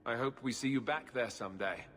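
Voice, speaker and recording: A man's voice: medium pitch, brisk, a bit bright, in a large hall, close to an everyday voice. An actor in a video game playing a good-humoured, weary but hopeful king with a dry, casual, joking manner. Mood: wry, regretful.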